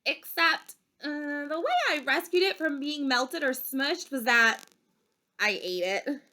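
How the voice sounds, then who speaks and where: Woman, bright slightly high voice, expressive storybook reader, dramatic emphasis. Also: takes it slowly. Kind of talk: expressive children's bedtime story read-aloud.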